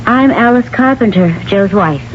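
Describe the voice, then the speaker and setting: An actress playing a brisk, firm engineer's wife with a dry edge in a 1970s radio drama. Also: female voice, mid-range voice, expressive, natural voice. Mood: pressing. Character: engineer's wife, brisk, sharp-tongued adult woman. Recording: muffled old radio broadcast, faint hiss.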